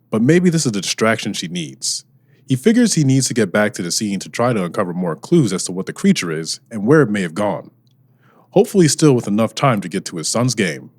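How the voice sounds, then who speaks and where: A man narrating an audio drama as a scripted storyteller. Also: lowish voice. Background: music.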